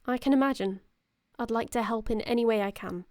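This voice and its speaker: Composed, high-voiced commanding woman, played by an actress. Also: darkish tone, no room sound, clean studio sound, natural voice. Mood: smooth, reassuring. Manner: formal, measured, smoothly reassuring. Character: composed, authoritative female leader of a covert organization. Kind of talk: audio drama acting.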